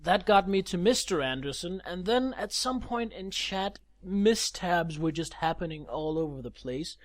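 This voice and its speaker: A man's voice: high voice, expressive, moderate pace, no echo. A man co-hosting a podcast in casual chat, teasing with dry humor. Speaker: podcast co-host.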